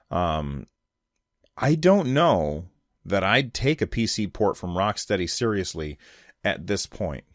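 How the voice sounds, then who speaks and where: A man's voice: lowish voice, no echo, clean studio sound. A man hosting a solo podcast, giving casual opinion commentary in an emphatic, conversational way. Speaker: podcast host.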